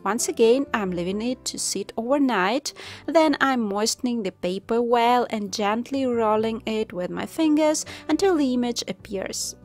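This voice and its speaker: A woman with a somewhat high-pitched voice explains a home craft project in a calm, instructive voice-over. Soft music plays faintly underneath. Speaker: craft channel host. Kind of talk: step-by-step voice-over narration. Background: soft background music.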